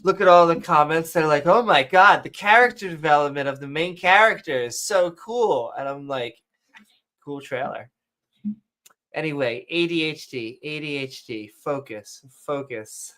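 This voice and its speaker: Casting: male podcast co-host, rambling and excitable, bright and slightly high, voice fraying. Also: normal speed. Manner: rambling, excitable, jumps between topics. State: losing his voice. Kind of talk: casual late-night podcast chat.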